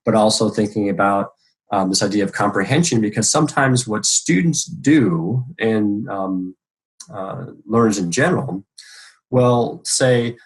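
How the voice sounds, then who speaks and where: Hesitant American man with a low voice. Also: rough recording. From United States. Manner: halting, many ums and restarts. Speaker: education professor. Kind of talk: online interview answers.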